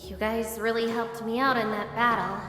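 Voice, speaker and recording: A woman's voice: fairly high pitch, flat delivery, normal speed, lightly acted. A woman voicing a fierce, confident young swordswoman in a game, bold and commanding. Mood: warm. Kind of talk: game voice acting. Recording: long reverb with clear background music.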